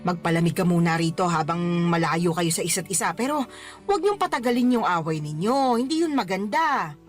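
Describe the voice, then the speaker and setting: A woman's voice: fairly low pitch, very animated, fairly quick. A woman acting a fond middle-aged mother who teases and scolds in an exclamatory way in a radio drama. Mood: advising. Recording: music under the voice. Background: music.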